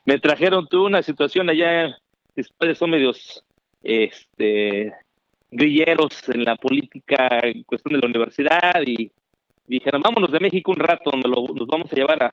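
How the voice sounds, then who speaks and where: A middle-aged Mexican man telling his story as an interview guest, animated and chatty with many fillers. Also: mid-range voice, moderate pace, no room sound. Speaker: guest, founder. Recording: dry, dull remote call audio.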